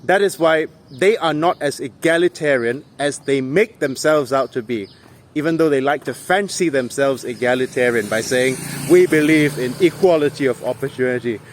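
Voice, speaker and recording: A man's voice: medium pitch, moderate pace, slight background noise. A man delivering an opinionated political monologue in an emphatic, indignant, rhetorical manner. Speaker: political commentator.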